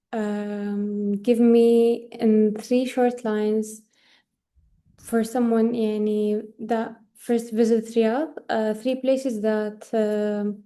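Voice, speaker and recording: A woman walks through a software demo on a video call. She speaks slowly and with many pauses and fillers, and drops in Arabic words now and then. Her voice sits a little high but sounds dark and mellow, with little rise and fall. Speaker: workshop presenter. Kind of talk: screen-share product demo.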